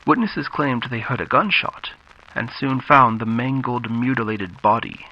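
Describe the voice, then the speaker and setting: A man narrating a radio-style thriller from a script, dramatic and deliberate, with pauses for effect. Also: mid-range voice. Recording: slightly dull, faint background noise.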